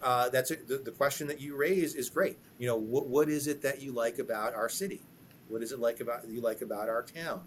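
A man's voice: medium pitch, steady tone, fairly quick, a little hiss or hum. A man who is a guest lawyer on a legal podcast, explaining hiring practices in conversation. He often repeats words as he starts a thought and tags his points with "right?".

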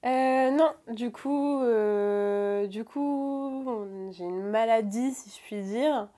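A woman's voice: on the high side, very slow, mellow. A woman in her twenties telling a personal story in conversation, hesitant, with many fillers and restarts. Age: 20s. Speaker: guest.

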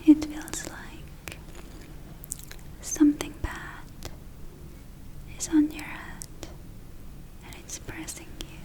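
A woman whispers very slowly and breathily, with gentle pauses between short phrases. Her delivery is soft and even, with little rise or fall. She plays a soothing witch healer in an ASMR roleplay. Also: sits high, poor audio. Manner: gentle, unhurried, pausing. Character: soothing witch healer welcoming a spa client.

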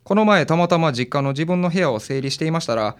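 A man's voice: fairly high pitch, quick pace, clean tone, natural voice. Flustered Kansai family man played by a male actor. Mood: wistful. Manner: hesitant, defensive, excitable. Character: flustered, sentimental married father, a male client. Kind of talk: audio drama acting.

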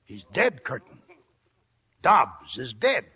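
An actor playing a seasoned old American gold prospector, folksy and wry, in 1940s radio drama style. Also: male voice, mid-range voice, wildly swooping pitch, takes it slowly, bright, forceful tone, slightly rough, full character voice. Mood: sober. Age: older. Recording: dull, noisy old radio recording.

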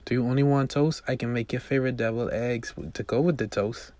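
A man's voice: medium pitch, steady tone, fast talker, a little mellow, no echo, natural voice. A man as doting mom, apologetic, soothing, everyday voice, script read-aloud, dry recording. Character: apologetic, doting mother of a teenage son.

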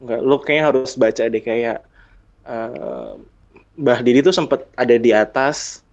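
A man with a Jakarta accent talks in a loose, slangy podcast style at an ordinary pitch. His delivery is bantering and conversational. The audio is a little dull and lo-fi. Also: a little slow, darkish tone. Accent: Jakarta Indonesian. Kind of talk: casual podcast chat.

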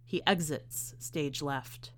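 Woman doing a story podcast, lower voice, measured but expressive narration. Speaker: podcast host and story reader. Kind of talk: literary narration and podcast commentary.

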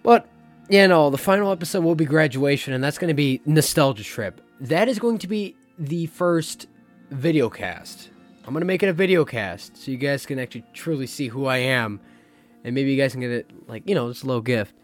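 A young adult man hosting his own podcast, talking in a rambling, confessional way, his voice a little hoarse as it recovers. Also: mid-range voice, expressive, fairly quick. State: slightly hoarse, recovering lost voice. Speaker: podcast host. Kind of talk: casual solo podcast talk. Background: faint jazz music.

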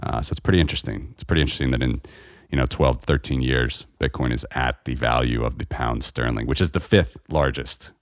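A man's voice: a bass voice, a little fast, no echo, clean studio sound. A man hosting a casual conversation about money, talking in a rambling way with many fillers. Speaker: podcast host. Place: lives in Eastern Europe.

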